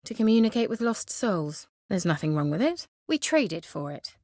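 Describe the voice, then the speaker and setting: An actress voicing a haughty, witty, sly sorceress in a video game, with an arch, formal and sardonic way of talking. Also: female voice, medium pitch, very animated, moderate pace, darkish tone, dry recording, studio quality. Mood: coolly dismissive. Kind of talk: video game character dialogue.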